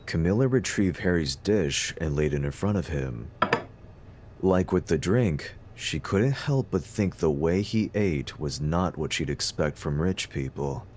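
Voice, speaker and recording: A man's voice: low-pitched, animated, a little slow, darkish tone, slight background noise. A man narrating a scripted story as the narrator of an audio drama.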